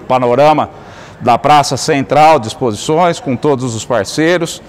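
A man's voice: medium pitch, normal speed, a little hiss or hum. A middle-aged man, an executive, guiding viewers step by step through a digital fair on a touchscreen in a large store. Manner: steady explanatory tone, narrating each click. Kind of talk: product walkthrough.

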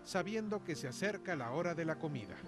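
A man reading a scripted nature report as a voice-over in a measured, descriptive way. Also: fairly high pitch, expressive. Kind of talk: scripted documentary narration. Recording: music bed under voice-over.

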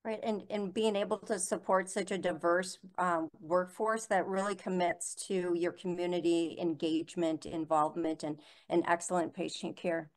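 A middle-aged woman hosting a webinar interview over a video call, speaking in a measured way with filler pauses. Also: fairly low pitch, steady tone. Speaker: interview host. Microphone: webcam on a video call.

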